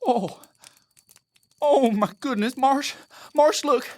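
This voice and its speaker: A man's voice: very high voice, slow, darkish tone, exaggerated voice. Man acting a greedy, ruthless Old West bandit. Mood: awed. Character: greedy, ruthless, domineering male bandit, older brother type. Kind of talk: audio drama acting, folksy Old West dialect.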